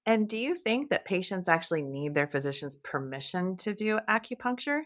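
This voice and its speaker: A woman's voice: fairly low pitch, very animated, a little fast. A woman hosting an interview, asking poised, prepared questions. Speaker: host.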